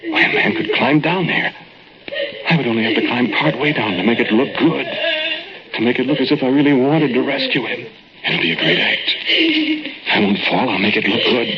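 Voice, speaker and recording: An actor playing a jealous, scheming murderer who narrates and pleads in a deliberate, dramatic old radio style. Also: male voice, medium pitch, expressive, a loud crowd, close to an everyday voice. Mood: calculating. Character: jealous, scheming male murderer, coldly confessing, falsely pleading. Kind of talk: 1940s radio drama acting, first-person narration. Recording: muffled old radio recording with music.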